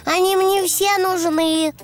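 A performer voicing a young boy donkey in a children's cartoon, childlike and possessive, with slow, simple phrasing. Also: extremely high pitch, steady tone. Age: young child character. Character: little boy donkey, playful, possessive toddler. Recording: music behind the voice.

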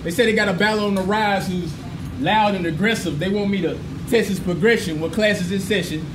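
A young man from Arkansas delivering taunting battle rap bars in a fairly high voice. He is heard from a distance in a boomy, echoey room. Crowd chatter sits clearly behind him. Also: fairly quick, noisy background. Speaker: battle rapper. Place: small crowded indoor room.

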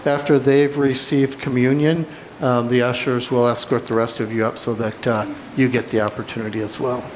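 A man leads a communion prayer at a steady, middle pitch. He reads in a measured, solemn way, with a faint hiss behind him. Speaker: pastor. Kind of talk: reading a communion liturgy. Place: church sanctuary.

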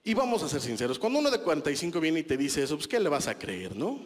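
A man's voice: a little high, big pitch swings, fast, in a large hall. A Mexican man in his thirties doing a stand-up comedy set on a small club stage, speaking into a handheld mic in a conversational, self-mocking way with crude slang. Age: 30s.

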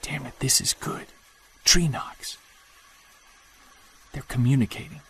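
An actor playing a Bigfoot hunter on an expedition, talking low and excited into his field recorder, with faint outdoor sound behind him. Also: slow, creaky, husky, full character voice. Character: obsessed male Bigfoot hunter alone in woods. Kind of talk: audio drama field-recorder monologue. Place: woods at night and day.

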